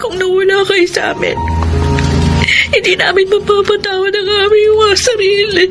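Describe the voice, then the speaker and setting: A woman's voice: very high voice, flat delivery, normal speed, over music. A woman playing a sorrowful, repentant elder sister in a radio drama, earnest and tender, with a Filipino accent.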